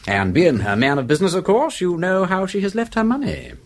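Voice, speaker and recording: An actor playing a flippant, inquisitive aristocratic detective in a British radio drama, with an upper-class English accent and a breezy, foppish, rambling politeness. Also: male voice, high-pitched, very animated, a little fast, a little hiss or hum. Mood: probing, airy.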